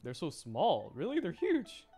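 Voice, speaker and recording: A woman's voice: lowish voice, wildly swooping pitch, unhurried, dark tone. A woman streamer giving casual, amused game commentary, reacting aloud as she plays. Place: indoor stream voice-over with game music behind.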